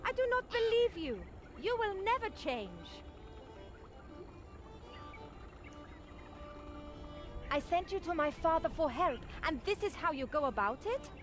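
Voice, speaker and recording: A woman's voice: very high voice, very animated, normal speed, soft background music. A woman playing a proud, easily exasperated young desert princess in a video game, with formal, scolding phrasing.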